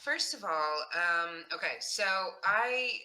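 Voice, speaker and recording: A woman's voice: lowish voice, takes it slowly, a crowd in the background, low-quality recording. A woman from Texas with a light Texas accent, chatting animatedly as a guest about her true crime podcast. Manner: animated, laughs often, theatrical. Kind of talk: casual interview chat.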